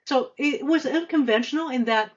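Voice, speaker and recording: A woman in her fifties answering interview questions conversationally, with halting, hesitant speech full of stammered restarts. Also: sits high, muffled recording. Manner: halting, hesitant delivery with frequent stammered repeats and long filler runs. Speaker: podcast guest, senior finance executive.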